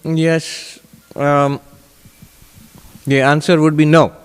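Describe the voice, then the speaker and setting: High-pitched male spiritual teacher, echoey hall. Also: flat delivery, very slow, clean tone. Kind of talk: teaching Vedanta, answering audience questions. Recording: echoey hall with long reverb.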